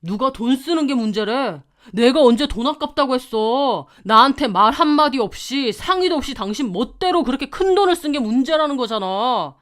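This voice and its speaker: A woman's voice: high-pitched, animated, normal speed, hardly any pauses. A woman voicing a defensive, stubborn husband, curt and argumentative.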